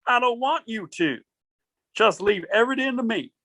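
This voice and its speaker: A woman's voice: on the high side, lively intonation, normal speed, fairly bright tone, dry recording, clean studio sound. A woman playing a boastful, combative exorcist in an amateur radio play. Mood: confident, challenging. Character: boastful, combative exorcist called in to clear a house.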